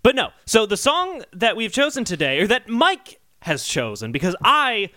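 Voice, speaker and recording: A man's voice: high-pitched, huge pitch swings, normal speed, sharp tone, dry recording. A man hosting a comedic music podcast, chatting casually and arguing his points with mock-indignant emphasis. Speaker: podcast host.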